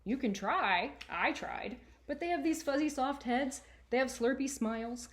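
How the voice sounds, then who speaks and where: A woman's voice: sits high, measured pace, voice pushed up. Woman reading as an exasperated, earnest boy narrator. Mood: rueful. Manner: colloquial, exasperated, emphatic. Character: sarcastic, earnest boy narrator with a baby brother. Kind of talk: expressive first-person storybook reading.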